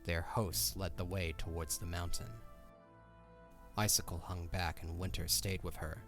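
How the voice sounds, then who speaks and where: A man's voice: deep voice, steady tone, measured pace, a bit bright, soft background music. A man narrating a scripted fantasy story as the narrator of an audio drama.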